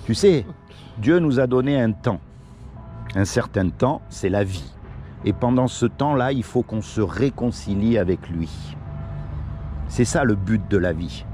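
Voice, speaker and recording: A man's voice: fairly low pitch, lively intonation, measured pace, mellow, faint music behind. A man from Morocco doing casual one-on-one street preaching, earnest and pleading, full of everyday examples. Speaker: street evangelist. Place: outdoors on a city street.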